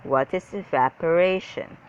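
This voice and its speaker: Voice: medium pitch, unhurried, muffled recording, a little hiss or hum, natural voice. A reader voicing a kindly, patient woman schoolteacher with a sweet, encouraging manner in a children's storybook.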